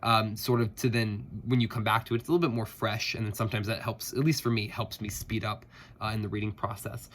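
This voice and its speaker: A man's voice: medium pitch, fairly bright tone. A man with a stuffed-up voice from a head cold, chatting casually about his reading with many fillers and restarts. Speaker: booktuber.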